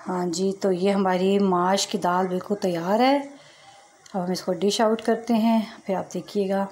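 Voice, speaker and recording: A woman's voice: medium pitch, steady tone, measured pace, a little mellow. Chatty middle-aged woman in echoey kitchen. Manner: chatty, explains step by step with frequent asides. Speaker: cooking vlogger. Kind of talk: casual home cooking tutorial. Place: home kitchen at the stove. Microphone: phone camera held over the pot. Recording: echoey kitchen with cooking noise. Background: frying and sizzling.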